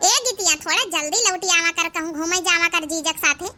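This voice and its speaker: A woman's voice: extremely high pitch, brisk, music in the background. A woman voicing a worried married elder sister in an Awadhi cartoon comedy, scolding and fretful in manner. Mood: nagging.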